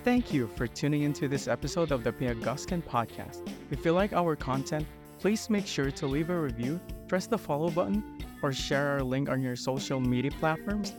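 A man reading a scripted podcast sign-off in an even, read-out way. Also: on the high side, normal speed. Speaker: podcast host, outro. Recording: voice over background music.

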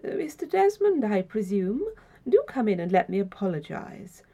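A courteous older gentleman host, voiced by a woman reading a story, formal and solicitous. His speech is pitched high and moves in wide, lilting swoops, with polite, welcoming care. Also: sing-song, a little slow, gravelly, heavily acted.

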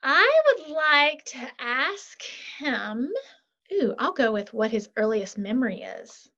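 An upbeat woman talks through a muffled, lo-fi line, her voice sitting a little high. She chats in an animated way and keeps checking in with "right?". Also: takes it slowly. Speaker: podcast guest, author. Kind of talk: casual interview chat. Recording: lo-fi muffled sound.

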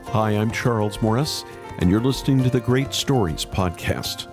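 A man's voice: on the low side, normal speed, music in the background. An American man hosting a radio interview programme, introducing things and putting questions to a guest.

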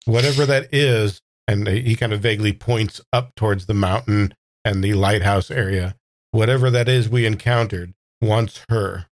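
A man playing a tabletop roleplaying game, improvising dialogue and narration in a halting, thoughtful way. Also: fairly low pitch, no echo. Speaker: player in a tabletop roleplaying audio drama. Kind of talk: improvised in-character talk and casual narration.

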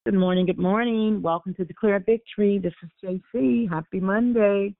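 A woman hosts a morning prayer conference call, repeating warm greetings to each caller as they join. Her slightly raspy voice comes through a dry, tinny phone line. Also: mid-range voice, normal speed, no echo. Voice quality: gets raspy. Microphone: telephone.

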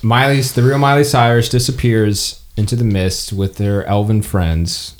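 Man narrating a tabletop game, halting. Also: on the low side, fairly even intonation. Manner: halting, conversational. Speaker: game master.

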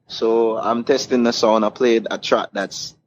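A man's voice: lowish voice, fairly even intonation, unhurried. Man telling stories, muffled noisy recording. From Caribbean. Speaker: DJ guest. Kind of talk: casual anecdotal interview storytelling.

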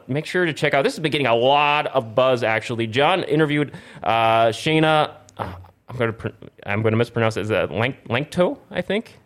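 Rambling male podcast host. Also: mid-range voice. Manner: rambling, stumbles over words and corrects himself. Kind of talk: casual video game talk show with plugs and sponsor reads.

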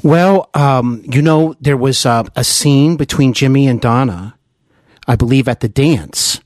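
A man's voice: mid-range voice, a little slow, a little mellow, dry recording. An American man hosting a conversational interview in an earnest, admiring manner, close to the microphone. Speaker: interview host. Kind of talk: conversational radio-style interview.

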